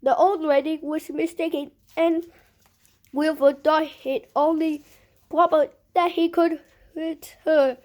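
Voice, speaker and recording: Slow, high-pitched woman reading a storybook. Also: fairly even intonation, darkish tone. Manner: slow, deliberate reading with pauses between short phrases. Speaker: storybook reader. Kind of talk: read-aloud of a children's picture book.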